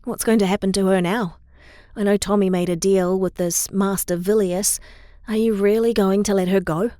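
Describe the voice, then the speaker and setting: A woman's voice: mid-range voice, steady tone, normal speed, mellow, no room sound, studio quality, lightly acted. A woman playing a grieving, guilt-ridden young superhero in an audio drama, confiding in a conversational way. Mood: downcast.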